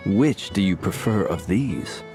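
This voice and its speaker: A courteous candy maker with an expressive, mid-pitched voice that rises and falls freely. His speech is formal and elaborate, always polite, with game music playing clearly behind him. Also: a little slow. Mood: gently probing. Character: courteous gentleman candy maker, adult man. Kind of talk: video game voice acting. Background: game soundtrack music.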